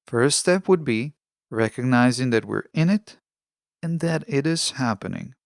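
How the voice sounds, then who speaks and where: A man with a mid-pitched voice and a noticeable gravelly fry delivers a scripted self-help podcast monologue. He speaks as a host, measured and instructional, explaining things step by step. The sound is dry and close to the microphone. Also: no room sound.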